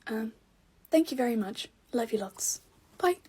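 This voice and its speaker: A woman with a breathy, somewhat high voice speaks a mock-earnest prayer. Her delivery is playful and wry, and she sounds soft and a little airy throughout. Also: expressive, unhurried. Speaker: gender-fluid content creator.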